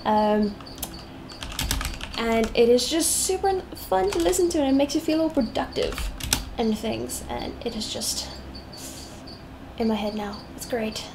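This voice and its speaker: A woman's voice: on the high side, a little slow, creaky. A young South African woman with a mixed British and American-tinged accent, chatting and humming to herself as she draws on a live stream. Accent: mixed British and American-tinged English. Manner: chatty, hums to herself, meandering. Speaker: live-streaming digital artist. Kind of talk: thinking aloud while drawing on a live stream. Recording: steady noise with crickets behind.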